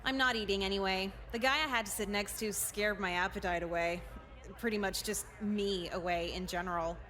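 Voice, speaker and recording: A woman voicing a jaded, sarcastic teenage girl in a visual novel game, deadpan and caustic. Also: mid-range voice, fairly quick, natural voice. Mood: weary, wry. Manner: deadpan, caustic, foul-mouthed.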